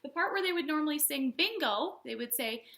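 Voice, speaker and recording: A woman hosting a storytime for young children, teaching in simple words with an animated, sing-song manner and questions to her small viewers. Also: high-pitched, brisk, vocal fry. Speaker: children's librarian, storytime host.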